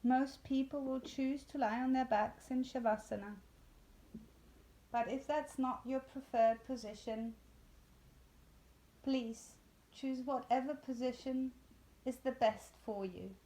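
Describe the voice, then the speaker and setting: Female meditation guide, very slow and soothing, soft-toned and mellow, leaving long pauses. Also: high voice, fairly even intonation, low-quality recording. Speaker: yoga nidra instructor.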